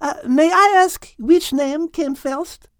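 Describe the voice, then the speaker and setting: A woman's voice: high voice, slow, a bit bright, no echo, clean studio sound. A woman voicing a polite, curious little animal, with a hesitant manner.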